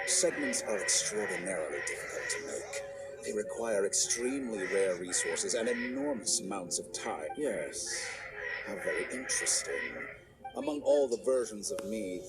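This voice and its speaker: A man voicing an arrogant, calculating villain scholar in a video game, speaking in a measured, condescending, theatrical way. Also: fairly low pitch, poor audio. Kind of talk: dramatic video game voice acting. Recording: music under the voice.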